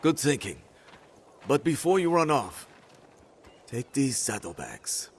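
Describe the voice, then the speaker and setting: An actor playing an earnest, gritty island fighter in a video game, plain-spoken. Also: male voice, medium pitch, very animated, takes it slowly, breathy edge, full character voice. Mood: relieved, grateful. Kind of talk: video game drama acting.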